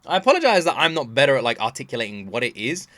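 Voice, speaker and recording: A man's voice: medium pitch, big pitch swings, racing, a bit bright, no room sound. A man hosting a casual reaction video, rambling expressively and repeating himself for emphasis. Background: game soundtrack.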